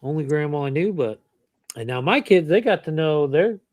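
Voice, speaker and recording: Middle-aged host, slightly higher voice, dry close sound, rambling storyteller. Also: male voice, lively intonation, moderate pace, darkish tone, no echo. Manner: rambling storyteller, chuckles often. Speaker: channel host. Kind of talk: casual family chat.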